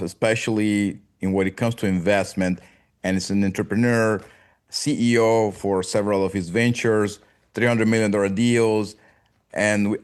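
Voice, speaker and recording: A man hosting a podcast interview who asks his guest questions in a halting way, often repeating himself. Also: lowish voice. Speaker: podcast host.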